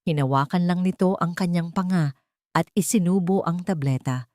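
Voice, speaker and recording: Woman, Filipino accent, dramatic audio story narration, lowish voice, dry close sound. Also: normal speed, a little mellow, no echo. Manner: dramatic storytelling, voicing characters' lines.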